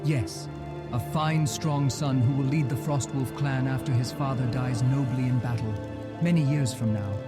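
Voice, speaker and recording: A steadfast, supportive orc voiced by a man in a fantasy game. The voice is medium in pitch, earnest and reassuring. Music runs clearly underneath. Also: steady tone, normal speed, close to an everyday voice. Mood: reassuring, proud. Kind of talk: fantasy game cutscene acting. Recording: music behind.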